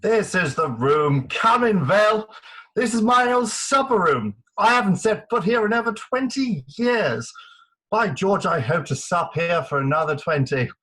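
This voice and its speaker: High-pitched, blustering man acting an old military gentleman. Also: animated, low-quality recording. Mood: nostalgic, blustering. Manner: brisk orders, emphatic exclamations. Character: bluff, commanding military gentleman back from India. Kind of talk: staged reading of Victorian farce.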